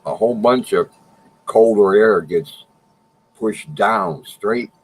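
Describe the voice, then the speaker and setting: Very low-voiced man, matter-of-fact. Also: slow pace, a little hiss or hum, rough recording. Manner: plain, matter-of-fact explaining. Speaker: livestream co-host. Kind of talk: casual chat.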